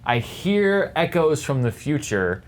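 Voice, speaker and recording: A man's voice: on the high side, sing-song, slow pace. Articulate, wordy man judging a debate. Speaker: judge on a film debate panel show. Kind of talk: unscripted panel debate.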